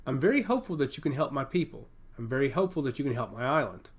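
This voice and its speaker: A man's voice: medium pitch, lively intonation, very fast. A man voicing a poised young princess, lilting delivery. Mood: poised, hopeful. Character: poised, polite young princess, earnest and self-assured.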